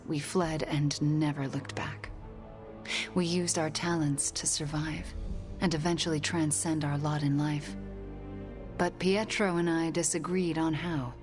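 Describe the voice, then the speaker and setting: A young sorceress speaks in a low, soft voice that turns breathy at the ends of phrases. She is brooding and earnest, weighed down by guilt yet determined. It is game cutscene drama with music underneath. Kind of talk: video game cutscene acting.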